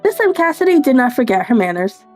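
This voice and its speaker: A woman reads a visual novel aloud as the player and narrator. Her pitch moves in a lively way through storybook prose and offhand comments, with faint music behind her. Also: fast. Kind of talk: dramatic read-aloud narration with casual asides.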